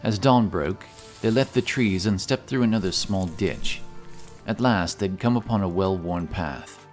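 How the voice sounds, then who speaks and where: A man narrating a scripted fantasy audiobook in a measured, dramatic way. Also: low voice, faint music behind.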